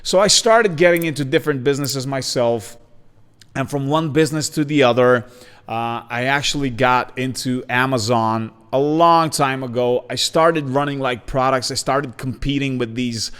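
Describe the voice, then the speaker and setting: A man in his forties from Bulgaria, hosting a podcast and introducing himself in an energetic, talkative way. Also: medium pitch, normal speed, clean tone. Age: 40s. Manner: energetic, talkative, with frequent fillers and restarts. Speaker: podcast host. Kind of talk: casual self-introduction monologue. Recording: long hall reverb.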